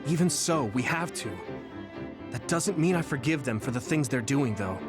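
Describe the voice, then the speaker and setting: A man's voice: mid-range voice, expressive, moderate pace, over music, lightly acted. A man voicing an earnest, determined young hero in an English video game dub, speaking resolutely.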